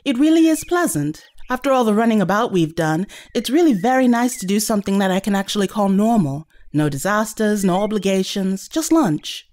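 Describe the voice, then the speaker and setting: A woman's voice: mid-range voice, lively intonation, a little fast, hardly any pauses, darkish tone, close to an everyday voice. A woman playing a wry, practical young companion in an audio drama, questioning and teasing. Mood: apologetic, pleased.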